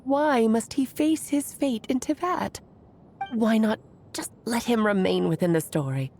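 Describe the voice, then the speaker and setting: A woman playing a whimsical, affectionate magician in a video game, with a theatrical, musing delivery and drawn-out phrasing. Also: fairly high pitch, darkish tone, slight background noise. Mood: pleading. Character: whimsical, affectionate female magician and old friend. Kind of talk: dramatic video game voice acting. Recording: music under the voice.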